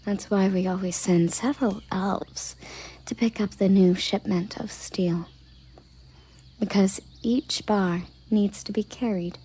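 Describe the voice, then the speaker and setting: Woman as a hushed, slow-speaking elven guard captain. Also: mid-range voice, fairly even intonation. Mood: calm, explanatory. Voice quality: breathy whisper. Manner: formal, measured, matter-of-fact. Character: female elven guard captain, dutiful, formal, earnest. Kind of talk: whispered ASMR roleplay.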